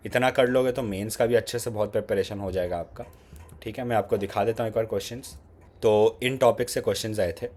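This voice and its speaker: A lower-pitched man with a slightly sore throat talks through exam strategy in a relaxed, conversational way. He keeps switching between Hindi and English phrases in an Indian English accent. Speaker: exam prep YouTuber. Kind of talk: casual exam strategy talk.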